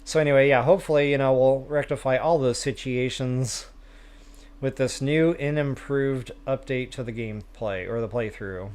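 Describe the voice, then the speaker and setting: A man's voice: medium pitch, normal speed, darkish tone, clean tone. A man hosting a game livestream, chatting casually with viewers in a self-deprecating way. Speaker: live stream host, gamer.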